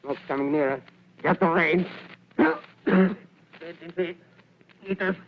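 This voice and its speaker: Muffled vintage radio drama: tense army gunnery officer, higher-pitched, clipped and commanding. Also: male voice, slow. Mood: urgent command. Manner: clipped, commanding delivery of short orders. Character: tense military artillery officer barking orders. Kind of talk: 1930s radio drama acting. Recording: muffled old 1930s radio broadcast.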